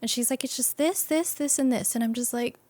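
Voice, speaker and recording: A woman's voice: fairly high pitch, brisk, a little mellow, no room sound. A woman chatting casually on a podcast in an informal, colloquial, easygoing way. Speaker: podcast guest being introduced as new series host. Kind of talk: casual chat.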